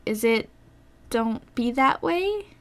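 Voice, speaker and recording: A woman playing a shy, polite young woman in a wartime audio drama, speaking softly and hesitantly. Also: on the high side, animated, slow. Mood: shy, unsure.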